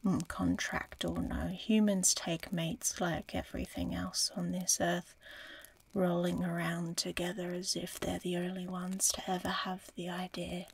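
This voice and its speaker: A woman voicing an aloof, curious, teasing female dragon in a fantasy ASMR roleplay, breathy and often whispering, slow and musing. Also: fairly low pitch, level tone, slight background noise. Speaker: audio roleplay performer.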